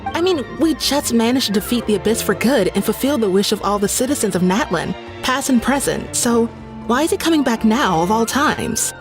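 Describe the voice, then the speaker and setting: A brash young woman snaps back and scoffs with a lively, expressive lilt, voiced by an actress. Her voice sits a little high. Game music is clearly heard behind her. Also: close to an everyday voice. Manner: snappy, quick to scoff. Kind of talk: game voice acting.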